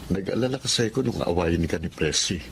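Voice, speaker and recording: A man's voice: deep voice, expressive, fast talker, vocal fry, background noise. A man with a Filipino accent playing a devoted, protective young fiancé in an audio drama, earnest in manner. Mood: tender.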